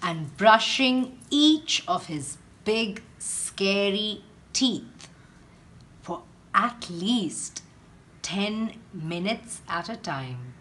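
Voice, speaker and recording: Very slow, lively storytelling woman. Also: medium pitch. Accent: Indian English. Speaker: teacher reading aloud. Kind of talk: picture book read-aloud.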